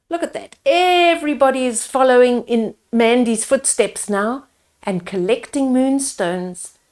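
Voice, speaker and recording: Slow, higher-voiced woman reading a storybook. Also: darkish tone. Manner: unhurried, explains the pictures to young listeners. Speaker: storyteller reading a picture book aloud. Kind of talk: read-aloud storytime narration with asides to children.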